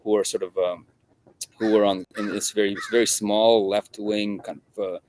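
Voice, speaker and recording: Halting low-voiced man in conversation. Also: level tone, a little mellow, rough recording. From Russia. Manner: halting, many restarts and repeated words. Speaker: guest journalist. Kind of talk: conversational interview.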